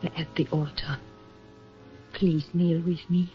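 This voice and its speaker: A woman's voice: deep voice, level tone, slow pace. A woman playing a protective young woman sheltering a hunted man in a 1940s radio drama, controlled and urgent. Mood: hushed, urgent. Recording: muffled vintage radio with music bed.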